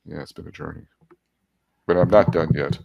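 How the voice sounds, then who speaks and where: Low gravelly man in his seventies. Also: fairly even intonation, normal speed, dull audio, a little hiss or hum. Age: 70s. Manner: chatty, self-deprecating, laughs at his own jokes. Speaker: guest. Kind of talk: casual storytelling interview.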